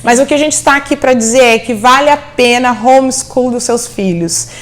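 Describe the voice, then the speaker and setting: A woman's voice: on the high side, normal speed. A middle-aged immigrant mother and host, encouraging parents about homeschooling, mixing English words into her talk. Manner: warm, encouraging, mixes English words into Portuguese.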